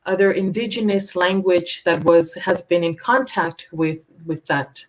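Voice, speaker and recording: A woman joins a panel over a video call. She explains at length, laying out facts the way a teacher would, with frequent pauses to find her words. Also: fairly low pitch, rough recording. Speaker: panel guest. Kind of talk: casual panel chat.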